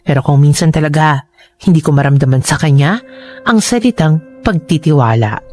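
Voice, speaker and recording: A man narrating a first-person drama confession in a reflective, slightly halting manner. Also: a little high, lively intonation, a little mellow, lightly acted. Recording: music under the voice.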